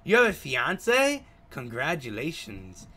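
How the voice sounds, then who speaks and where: A man's voice: on the high side, wildly swooping pitch, measured pace, pushed and bright, full character voice. A man reading aloud a polite, curious male novelist, the protagonist of a visual novel, in a courteous and inquisitive way.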